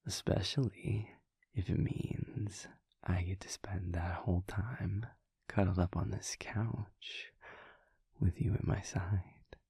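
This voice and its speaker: Man playing a slow, husky vampire boyfriend. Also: sits low, steady tone, no room sound, in a whisper, heavily acted. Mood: tender, content. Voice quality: breathy, husky. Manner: halting, stammering, chuckling, softly teasing. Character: affectionate, bashful young-looking male vampire prince boyfriend. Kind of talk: intimate boyfriend roleplay audio drama.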